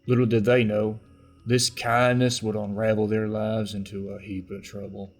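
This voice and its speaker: A man's voice: lowish voice, level tone, normal speed, faint music behind. A man giving dramatic, scripted narration for a fantasy podcast trailer.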